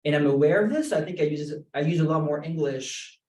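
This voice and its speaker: Man, a bit high, halting with "sort of"s, roomy room from far. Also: darkish tone. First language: French. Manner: halting, self-correcting, many "sort of"s. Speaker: graduate language instructor. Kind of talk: roundtable discussion.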